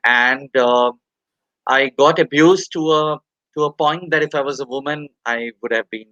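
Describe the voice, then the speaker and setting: Halting, soft-spoken Indian man. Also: mid-range voice, no room sound, highs cut off. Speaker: interview guest. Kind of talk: candid personal interview.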